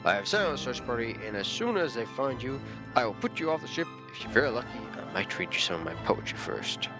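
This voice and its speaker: A man's voice: mid-range voice, sing-song, fast, over music. A man voicing a pompous, hostile male alien ship captain who speaks with contempt over the ship's speakers. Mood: contemptuous.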